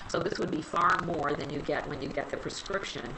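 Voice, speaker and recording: A woman's voice: sits low, fairly even intonation, brisk, background noise. A woman with a slight cold and a somewhat nasal voice, chatting casually as she presents free health resources in a webinar.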